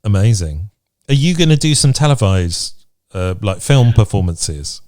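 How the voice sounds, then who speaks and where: A man's voice: fairly low pitch, big pitch swings, unhurried, darkish tone, no room sound, clean studio sound. A man hosting a podcast, chatting casually in a reflective, encouraging way. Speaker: podcast host. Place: remote video chat recording.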